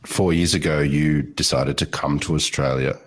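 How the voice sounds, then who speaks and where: A man's voice: rumbling low, steady tone, normal speed, breathy edge. A man hosting a food podcast, asking his guest brief questions. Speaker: podcast host. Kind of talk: interview questions.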